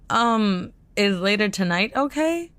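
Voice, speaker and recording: Concerned mother, audio drama, polite, slow delivery, close dry recording. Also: mid-range voice, a bit bright, no echo. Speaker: actor in a full-cast audio drama. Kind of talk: sci-fi audio drama acting.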